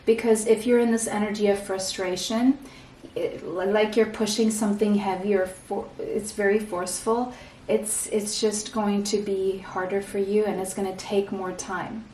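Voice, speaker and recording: A woman from Portugal giving a pick-a-card tarot reading in a soft, reassuring way, often checking in with "okay?" and clearing an irritated throat. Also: mid-range voice, flat delivery, moderate pace, reverberant, far from the mic, a little hiss or hum, rough recording. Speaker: tarot reader.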